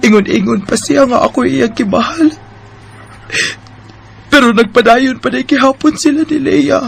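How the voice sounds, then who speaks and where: A woman's voice: high-pitched, moderate pace, creaky, slightly rough, soft background music, heavily acted. A young woman with a Bisaya Filipino accent playing an emotional, easily flustered office worker in a radio drama. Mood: hurt, resolute.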